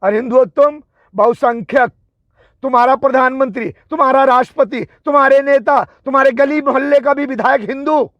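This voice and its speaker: A man's voice: very high-pitched, normal speed, no echo, muffled recording. A man delivering an angry, confrontational political and religious tirade, taunting with rhetorical questions and emphatic repetition.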